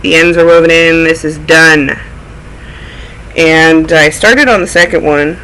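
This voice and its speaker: Man, snuffly cold, bright tense tone, rambling show-and-tell chat, knitting vlog host. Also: fairly high pitch, steady tone, measured pace, a little hiss or hum, poor audio. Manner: rambling, goes off on tangents. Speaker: knitting video podcast host. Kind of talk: casual chatty show-and-tell.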